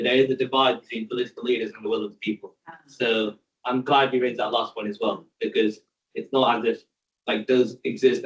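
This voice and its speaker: A man from the south of India, speaking from the audience in a lecture Q&A, hesitant with many fillers. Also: mid-range voice. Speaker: audience member. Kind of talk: audience comment in Q&A. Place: lecture hall. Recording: dull, echoey, from far off.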